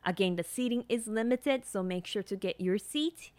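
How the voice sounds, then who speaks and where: A woman's voice: mid-range voice, normal speed, darkish tone, no echo. A woman in her thirties from Japan with a Japanese accent, a nurse practitioner hosting a podcast and talking earnestly with many ums and you-knows. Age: 30s. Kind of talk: solo podcast monologue.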